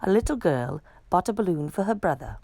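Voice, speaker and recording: Animated woman reading a children's storybook. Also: on the low side, brisk, no room sound. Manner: expressive, dramatic storytelling with acted exclamations. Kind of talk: children's picture book read-aloud.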